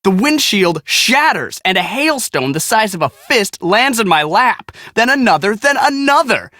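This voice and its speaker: An actor playing a cocky, excitable young American storm-chasing photographer in a video game, slangy, boastful and dramatic. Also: male voice, high voice, normal speed, dry recording, exaggerated voice. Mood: dramatic storytelling. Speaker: video game character, storm team media guy. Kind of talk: animated casual game dialogue.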